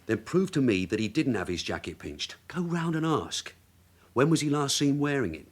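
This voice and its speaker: Shrewd older detective in a radio play, dry n sardonic, very animated pitch. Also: male voice, normal speed, breathy edge. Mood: sardonic, directing. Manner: dryly sardonic, probing questions. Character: shrewd veteran male detective sergeant, dry and wily. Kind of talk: radio drama acting.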